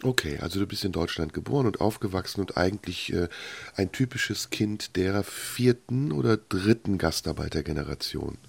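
Low husky guy doing an interview, slow thoughtful questions, bit of gravel. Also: animated.

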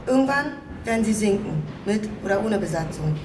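A woman acting a commanding, conspiratorial squatter in a radio play, instructing deliberately and slipping into whispers. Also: mid-range voice, lively intonation, far from the mic, close to an everyday voice. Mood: instructive, resolute. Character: Ragnar, commanding, conspiratorial female squatter and midwife of houses. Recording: echoey room, music underneath.

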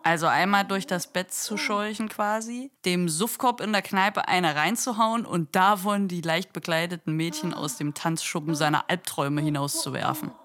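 A woman's voice: fairly low pitch, moderate pace, hardly any pauses, a bit bright, a little hiss or hum. A woman narrating a literary radio play as its storyteller. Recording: faint music behind.

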